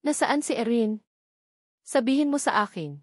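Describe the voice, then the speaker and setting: A woman's voice: a little high, expressive, normal speed, husky, dry recording. Woman voicing a cold, proud fairy swordswoman, dry sound. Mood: cold, demanding. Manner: cold, demanding.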